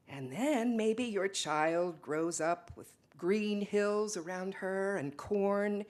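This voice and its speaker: A woman performing a solemn Mennonite ancestor in a slow, wistful dramatic stage monologue. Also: fairly low pitch. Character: Mennonite ancestor grandmother, solemn emigrant recalling a life.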